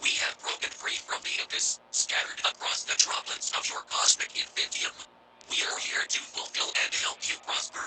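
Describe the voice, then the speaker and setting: The mind corruptor, a many-mouthed entity. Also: male voice, bright, forceful tone, rough recording. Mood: tempting. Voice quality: breathy whisper. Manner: slow, tempting, ominous. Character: whispering many-mouthed cosmic entity, seductive.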